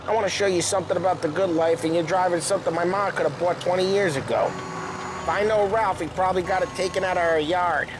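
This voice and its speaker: A man's voice: sits high, fast, lightly acted. An actor playing a brash male gangster in a game cutscene, talking tough street slang and mocking his friends. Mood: griping. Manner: tough street slang, loud teasing laughs. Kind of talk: video game cutscene acting. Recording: car and street noise.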